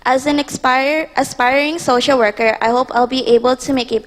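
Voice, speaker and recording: Young woman from Hawaii, earnest student pitch, higher voice, echoing hall. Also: fairly even intonation, fairly quick. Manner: earnest, reading from phone. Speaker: student presenter. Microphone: handheld mic. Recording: long hall reverb.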